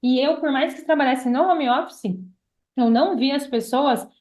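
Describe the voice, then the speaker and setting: A Brazilian woman around thirty with a fairly high voice, recorded in a roomy, echoing space. She tells her own story conversationally, as a podcast guest. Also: normal speed, darkish tone. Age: about 30. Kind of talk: casual personal storytelling.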